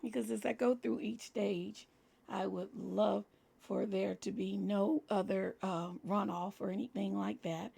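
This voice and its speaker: Husky gravelly woman with a scratchy cold voice. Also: mid-range voice, steady tone, unhurried, mellow. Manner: chatty, talks through each step as she works. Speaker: crafting channel host. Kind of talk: casual crafting tutorial talk.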